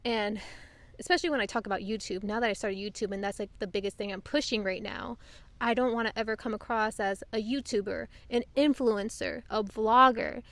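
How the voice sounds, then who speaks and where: A woman's voice: medium pitch, fairly even intonation, fairly quick, fairly bright tone, no room sound. A young woman hosting a casual podcast chat outdoors on a couch, loose and chatty with lots of fillers. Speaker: podcast host.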